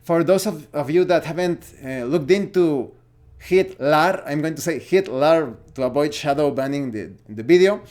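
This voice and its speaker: Man, Mexican accent, somewhat high pitch, casual opinion vlog, halting delivery, searching for words. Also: darkish tone. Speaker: tabletop gaming vlogger.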